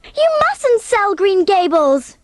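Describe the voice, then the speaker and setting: High-voiced, earnest young orphan girl. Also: sing-song, slow pace, dry recording, clean studio sound, heavily acted. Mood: alarmed, pleading. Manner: emotional, eager, emphatic. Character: earnest, impulsive, warm-hearted teenage orphan girl. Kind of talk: dramatised audiobook acting.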